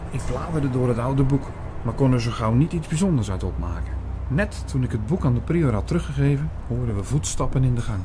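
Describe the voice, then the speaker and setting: Earnest priest-narrator played by a man, medium pitch, scripted storytelling, faint noise behind. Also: expressive, a little fast, hardly any pauses, close to an everyday voice. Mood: earnest, watchful. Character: earnest male priest recounting his investigation. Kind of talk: scripted audio drama narration.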